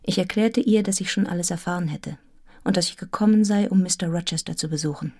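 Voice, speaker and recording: A woman's voice: lowish voice, quick pace, darkish tone, breathy edge. An actress playing a devoted, independent young Englishwoman in a German radio play, speaking and narrating with literary, composed earnestness. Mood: somber narration. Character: devoted, independent young Englishwoman telling her own story. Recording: studio radio play with music.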